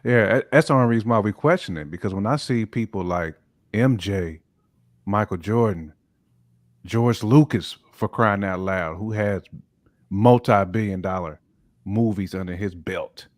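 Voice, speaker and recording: Very deep-voiced man in casual discussion. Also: normal speed, no room sound, clean studio sound. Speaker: talk show panelist. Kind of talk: casual hip hop discussion.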